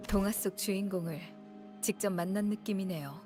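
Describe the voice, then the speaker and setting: A woman's voice: mid-range voice, rapid-fire, warm tone, soft background music, lightly acted. An actress playing a composed, polite, unsentimental female official in a video game. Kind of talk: video game voice acting in Korean.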